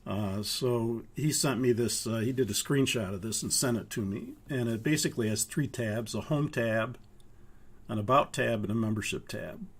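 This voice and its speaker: An older man from Minnesota, hoarse from a cold, walking students through a flight training course in a halting way with many pauses. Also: medium pitch. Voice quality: gravelly, husky. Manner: halting, with frequent uhs. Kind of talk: live online course walkthrough.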